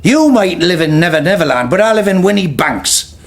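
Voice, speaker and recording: An actor playing a blunt, defensive working-class older brother in a radio drama. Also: male voice, high voice, animated, a little fast. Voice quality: blunt, combative.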